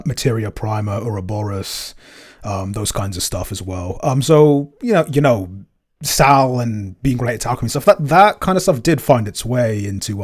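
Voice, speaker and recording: A man co-hosting a casual podcast Q&A, explaining things in a hesitant, measured way. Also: on the low side, a little mellow, breathy edge. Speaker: podcast co-host and producer. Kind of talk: casual Q&A chat.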